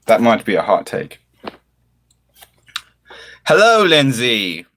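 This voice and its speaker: A man hosts a casual livestream in a mid-pitched, highly animated voice. He affects a British-style accent and chooses flowery, mock-formal words. His delivery is theatrical, rising and falling widely as he addresses his viewers. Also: slow.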